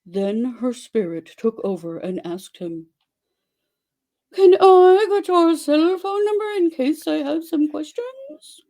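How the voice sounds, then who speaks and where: A woman giving a theatrical dramatic reading of a story, with exaggerated delivery and dramatic pauses. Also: high-pitched, big pitch swings, dark tone. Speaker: dramatic reader.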